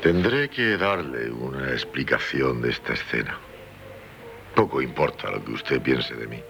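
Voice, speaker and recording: An actor with a Castilian Spanish accent playing a dissolute, passionate gentleman who stammers and repeats himself, in 1970s radio theatre style. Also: rumbling low, expressive, creaky, slight background noise, exaggerated voice. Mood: courteous, wounded. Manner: halting, stammering, repeating words. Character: dissolute, guilt-ridden, passionate gentleman in love. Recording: 1970s radio drama with faint music.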